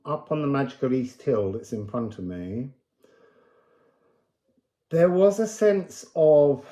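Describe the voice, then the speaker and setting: A man's voice: mid-range voice, warm tone. A man giving an unscripted spiritual card reading to viewers, musing and wandering from thought to thought. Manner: musing, digressive, breaks into chanted phrases.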